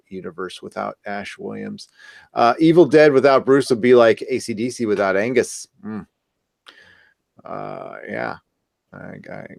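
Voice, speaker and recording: A man co-hosting a casual discussion, rambling and halting as he goes. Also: lowish voice, gravelly, dry recording, clean studio sound. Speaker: co-host. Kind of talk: casual chat, reading viewer comments.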